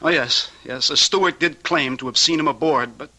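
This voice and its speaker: Casting: sophisticated young husband with a dark secret, radio drama. Forceful, piercing tone, earnest and deliberate. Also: male voice, on the high side. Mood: confessing, bitter. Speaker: actor. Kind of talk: old-time radio drama acting.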